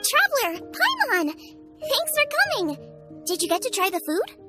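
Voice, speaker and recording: A woman's voice: very high-pitched, wildly swooping pitch, normal speed, over loud music, exaggerated voice. A woman voicing a bubbly, earnest young girl chef in an anime-style game, girlish and enthusiastic. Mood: cheerful. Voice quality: bright, girlish. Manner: enthusiastic, friendly.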